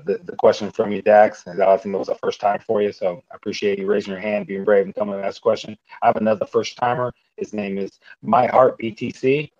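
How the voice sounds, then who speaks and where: Fast-talking low-voiced male host. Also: darkish tone, dry recording. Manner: rapid, repetitive, with fillers and restarts. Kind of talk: moderating a live audio Q&A. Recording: dull, dry online audio.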